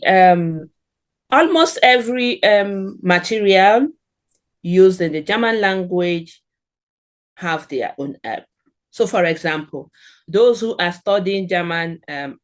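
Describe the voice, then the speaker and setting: A middle-aged woman with a Nigerian accent, a guest on a podcast, giving earnest encouraging advice in short phrases. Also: on the low side. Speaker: guest, German language expert. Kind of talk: podcast interview.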